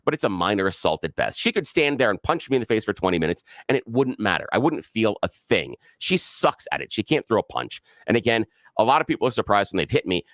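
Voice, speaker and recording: A man co-hosting a talk radio show, telling a story in an animated way and acting out the other people's voices. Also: on the low side, fast, few pauses, no echo, clean studio sound. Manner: animated, acts out the quoted voices. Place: radio studio. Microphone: studio microphone.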